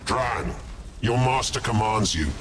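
A man playing a sinister, scheming zealot advisor to a villain in a game cutscene, with deliberate, portentous delivery. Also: mid-range voice, background noise, close to an everyday voice. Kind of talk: dramatic video game cutscene acting. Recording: music under the voice.

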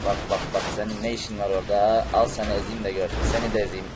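A man role-plays a hot-tempered male cartoon superhero over a video game. The voice is fairly low and emphatic. Noisy vehicle and battle sounds run behind it. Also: little pitch movement, fast, lightly acted. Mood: raging. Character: angry, unhinged male cartoon superhero on a rampage.

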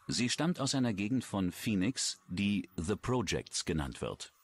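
A man's voice: on the low side, sing-song, unhurried, slightly rough. A man reading scripted true-crime narration as a documentary narrator.

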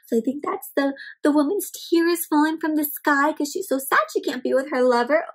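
A young woman with a high voice reads a picture book aloud for small children. She is animated and expressive, often turning to the listeners with questions as a story time host. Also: moderate pace. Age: young adult.

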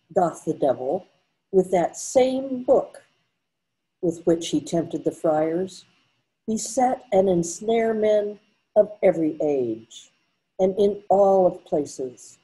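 An older woman reading scripted storybook narration in a measured, formal manner. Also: lowish voice, mellow, low-quality recording. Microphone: computer mic on a video call.

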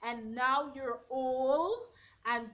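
A young woman in her twenties, slightly hoarse, giving a blunt, sassy recap of a reality show from her bedroom, filmed on a front camera at arm's length. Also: high voice, very slow. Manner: sassy, blunt, animated hand gestures. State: a bit hoarse. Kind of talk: casual opinionated recap. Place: bedroom at home, indoors. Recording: dull lo-fi webcam audio.

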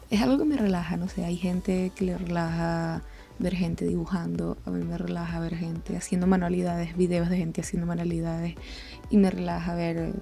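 A young Venezuelan woman talks casually over soft music. Her voice is on the low side and mellow, with a lot of creak, and she rambles along informally in a conversational way. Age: 20s. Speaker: art channel host. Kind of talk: casual chatty voice-over over drawing.

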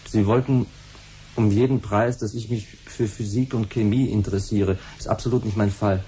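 An actor playing a sullen runaway son in a 1970s radio play, blunt and colloquial. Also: male voice, fairly low pitch, fairly even intonation, normal speed, dark tone, lightly acted. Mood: sullen, matter-of-fact. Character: sullen, runaway teenage son who loves music. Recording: faintly noisy 1970s radio recording.

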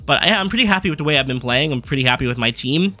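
A young man at his desk races through a casual commentary, tumbling from one point to the next with plenty of fillers. His voice is a little high and bright, hoarse because he is losing it. Faint music plays underneath. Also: expressive. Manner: fast, rambling card-by-card rundown with fillers. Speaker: gaming YouTuber. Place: small room at a desk.

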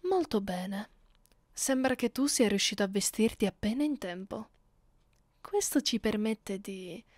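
A woman voicing a haughty, cruel, centuries-old vampire mistress in an ASMR roleplay, imperious and taunting in a measured way. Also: medium pitch, darkish tone, no echo, studio quality.

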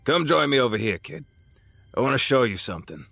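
An actor playing an older crime boss in an audio drama, patronizing and deliberate, with a smug, folksy menace. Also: male voice, medium pitch, very animated, creaky, pushed and bright, breathy edge, no echo, soft background music, exaggerated voice. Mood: smug, persuasive.

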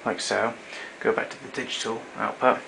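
Gravelly low-voiced man explaining equipment. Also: steady tone, noisy background. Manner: measured, technical explaining. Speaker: equipment demonstrator. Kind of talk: explanatory voice-over demonstration.

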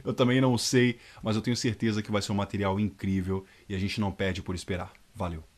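A man, a fan dub voice actor chatting in a lively, nostalgic way to camera. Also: fairly low pitch, fast talker. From Rio de Janeiro state, Brazil. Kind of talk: casual nostalgic testimonial. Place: dark room indoors.

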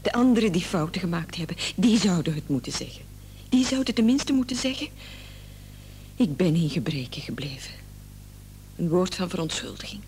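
An actress in a radio drama playing the distraught, reproachful wife of a gravely ill man. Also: on the low side, sing-song, moderate pace, pauses often, darkish tone. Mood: bitter, reproachful. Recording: old radio play, faint noise and hum.

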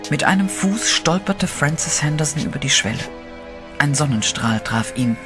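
Male narrator over loud music. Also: fairly high pitch, lively intonation, moderate pace. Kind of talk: scripted horror narration.

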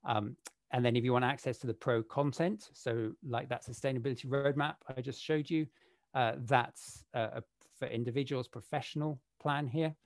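Halting man with a gravelly voice. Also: medium pitch, steady tone, no room sound. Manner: halting, many fillers and restarts. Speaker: webinar presenter for a sourcing platform. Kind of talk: screen-share walkthrough demo.

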